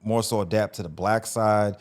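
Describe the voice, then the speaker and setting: A man's voice: fairly low pitch, steady tone, moderate pace. A man in his forties from Las Vegas, a podcast guest talking casually and slangily about his life. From North Las Vegas, USA. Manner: laid-back storytelling, slangy, restarts phrases. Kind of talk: casual interview conversation.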